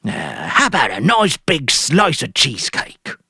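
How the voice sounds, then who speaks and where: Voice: mid-range voice, wildly swooping pitch, measured pace, bright, forceful tone, husky, no echo, studio quality, voice pushed up, heavily acted. A narrator voicing a friendly male grocer with a folksy, pleasant manner.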